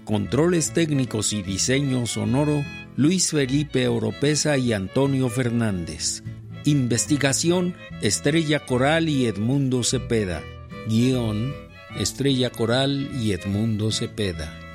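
Slow, formal male radio announcer. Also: medium pitch. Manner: measured, formal. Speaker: credits announcer. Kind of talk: scripted radio credits announcement.

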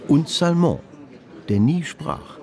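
A man's voice: a little high, animated, takes it slowly, a little mellow. A man narrating a literary radio drama in a scripted storytelling style.

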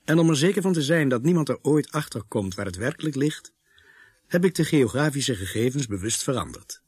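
A reflective narrator in a radio drama, recalling grim events. His husky voice moves expressively in pitch while staying measured and brooding. The sound is dry, with no room echo. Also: animated, a little mellow. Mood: grave, reflective.

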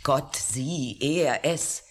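An actress in a science fiction radio play, playing a composed, sceptical press officer with a wry, down-to-earth manner. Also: female voice, extremely low pitch, extremely slow, full character voice. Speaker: radio drama actor. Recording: faintly hissy old radio drama recording.

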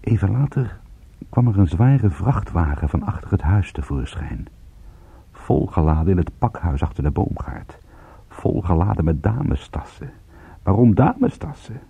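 An actor playing a world-weary, sardonic private detective with a husky voice in a radio play. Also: male voice, low-pitched, sing-song, moderate pace, phone-quality sound, exaggerated voice. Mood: brooding narration. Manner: wry, sardonic, laconic. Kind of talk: radio drama acting with first-person narration.

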